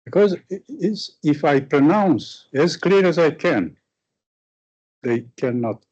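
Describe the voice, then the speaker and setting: A lecturer speaks English with a Romanian accent, slowly and with pauses as he searches for the right word. His voice sounds a little muffled, as on a lo-fi recording. He comes across as thoughtful and unhurried. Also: on the high side, animated, a little mellow, husky. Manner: halting, searching for words. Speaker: visiting lecturer. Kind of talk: answering a question after a lecture.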